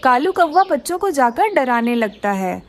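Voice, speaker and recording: A woman's voice: sits high, sing-song, normal speed. A woman narrating a scripted children's cartoon story.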